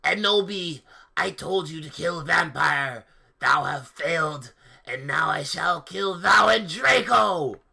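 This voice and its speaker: Man acting out a pompous, shouting villain wizard. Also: a little high, expressive, slow, gravelly, husky, heavily acted. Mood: angry, menacing. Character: angry, pompous male dark wizard villain, archaic speech.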